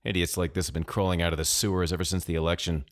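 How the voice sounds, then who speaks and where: A man's voice: low voice, little pitch movement, racing, close to an everyday voice. A man voicing a mischievous, amused young American prankster with a casual, sweary, teasing manner in an audiobook story. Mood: amused, scornful.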